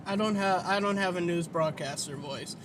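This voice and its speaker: A man's voice: high voice, moderate pace, noisy background. A man hosting a casual solo podcast, rambling and self-deprecating, with a whiny, nasal voice and free swearing. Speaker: podcast host.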